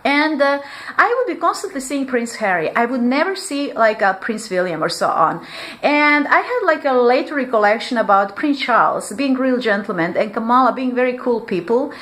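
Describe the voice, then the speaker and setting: A woman's voice: a little high, expressive, moderate pace, fairly bright tone. A woman speaking English as a second language in a rambling vlog monologue. She fills her talk with "like" and restarts often, with small grammar slips and laughs between phrases. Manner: rambling, heavy use of "like" fillers, frequent restarts. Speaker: vlogger.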